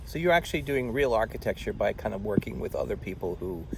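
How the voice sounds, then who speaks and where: Male host, expressive pitch, rambling, faint traffic hiss outside. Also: fast talker, creaky. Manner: animated, rambling. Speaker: show host. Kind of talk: conversational interview. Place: outdoor botanical garden.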